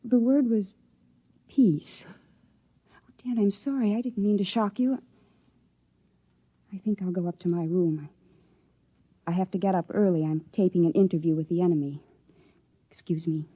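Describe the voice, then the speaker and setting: An actress playing an earnest, questioning young researcher daughter, quietly defiant and hesitant, in an old radio drama. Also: female voice, fairly low pitch, moderate pace, pauses often, husky. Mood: hesitant, troubled. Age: young adult. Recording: dull, lo-fi old radio recording.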